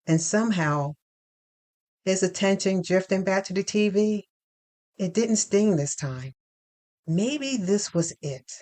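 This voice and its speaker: A woman reading first-person dramatic fiction in a deliberate, expressive storytelling manner. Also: medium pitch. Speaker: narrator.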